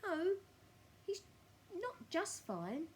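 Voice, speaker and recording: A woman voicing a sweet, gushing widow in a children's storybook, with drawn-out, affectionate speech. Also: very high-pitched, very animated, a little hiss or hum, pitched above the performer's own voice, full character voice. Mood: fretful, yearning. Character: sweet, gushing widow doting on her tortoise.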